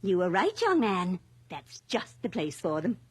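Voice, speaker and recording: A woman's voice: lowish voice, big pitch swings, a little slow, a little mellow, husky, full character voice. An actress playing a sweet, chatty, forgetful elderly village lady in a radio drama, rambling cheerfully. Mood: wistful, cheerful. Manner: rambling, fluttery, cheerful hostess.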